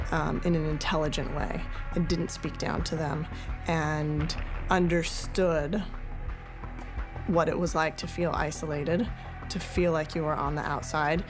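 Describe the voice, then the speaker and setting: Woman film producer interview, pretty flat intonation, loud music behind. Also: low-pitched. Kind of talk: documentary interview.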